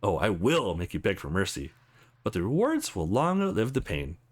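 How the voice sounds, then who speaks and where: A man's voice: lowish voice, huge pitch swings, normal speed, pitched below the performer's own voice. A man voicing a tough woman sparring partner from a visual novel, mocking and coolly formal, with clipped commands.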